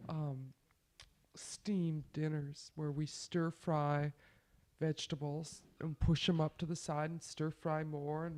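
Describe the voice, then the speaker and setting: A woman's voice: low voice, warm tone. A woman with a stuffy, congested nose recording a chatty, rambling spoken letter to family at home on a cassette recorder. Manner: chatty, rambling, musing aloud. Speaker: family member sending a taped letter.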